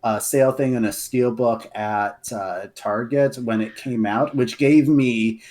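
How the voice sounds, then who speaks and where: A young man with a deep voice chats as a podcast guest. He tells his stories eagerly, stumbling over restarts and fillers along the way. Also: steady tone, a little slow, a little mellow. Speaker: guest, lore writer. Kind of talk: casual podcast chat.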